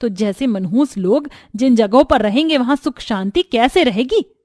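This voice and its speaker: A woman's voice: a little high, lively intonation, normal speed, a little mellow, no room sound. Woman acting as older mother-in-law, harsh, scornful, taunting, storybook cartoon drama, dry sound. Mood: angry, scolding. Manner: scolding, cutting.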